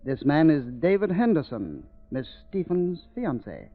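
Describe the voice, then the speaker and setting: An actor playing a sinister, coldly mocking villain of a doctor in a deliberate, menacing manner, in 1930s radio thriller style. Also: male voice, fairly high pitch, wildly swooping pitch, soft background music, full character voice. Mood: probing, suspicious. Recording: muffled, dull 1930s radio recording.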